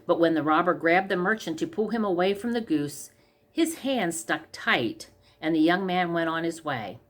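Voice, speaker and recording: A woman's voice: lowish voice, lively intonation, moderate pace. A woman reading a scripted comic fairy tale aloud as a storyteller. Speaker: story reader.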